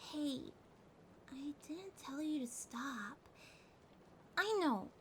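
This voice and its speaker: A needy young cat girl, played by a woman, speaks in a very high voice at an unhurried, drowsy pace. She is pouty and teasing yet affectionate, in an intimate ASMR roleplay style. Soft rain noise sits behind her. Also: exaggerated voice. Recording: steady rain sounds behind voice. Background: rain ambience.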